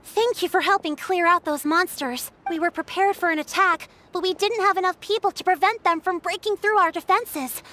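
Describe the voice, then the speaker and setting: An actress voicing an earnest, composed woman who leads defenders in a video game, speaking in a measured, serious, courteous way. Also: extremely high pitch, sharp tone. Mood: concerned, composed.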